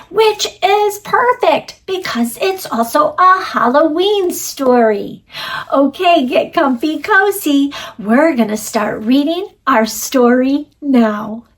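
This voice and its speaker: A woman reading a children's storybook aloud as host, animated and instructive, speaking directly to young listeners. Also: high-pitched, slow.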